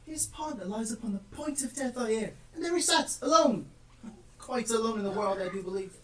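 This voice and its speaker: A woman playing a gentle spouse sharing news in a live stage play, with measured theatrical delivery. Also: fairly high pitch, expressive, natural voice. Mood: wistful. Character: spouse gently reporting news of an old friend. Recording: echoey stage, distant mic, faint noise.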